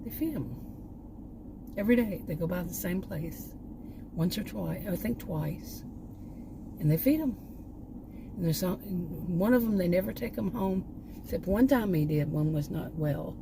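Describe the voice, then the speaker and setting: Woman vlogger, lowish voice, losing her voice, rambling casual talk, noisy outdoor background. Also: lively intonation, normal speed, long pauses. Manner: meandering, trails off and restarts.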